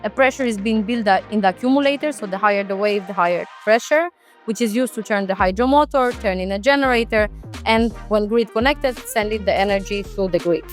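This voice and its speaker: A woman's voice: medium pitch, fairly quick, soft background music. A woman in her thirties from Israel, talking casually as an interview guest with many fillers and restarts. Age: 30s. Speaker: guest, company founder.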